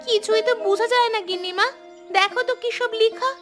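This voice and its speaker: A woman's voice: extremely high pitch, fairly quick. A woman voicing a dutiful, simple young household maid in a cartoon, deferential and guileless. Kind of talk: Bengali cartoon voice acting. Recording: music behind the voice.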